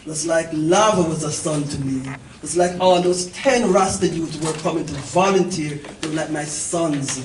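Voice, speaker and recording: A woman's voice: deep voice, normal speed, few pauses, a little mellow. A middle-aged woman, telling a story informally and emphatically to a gathering as its host, repeating phrases and checking in with listeners. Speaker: event organizer and host. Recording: echoey, distant mic, noisy live gathering.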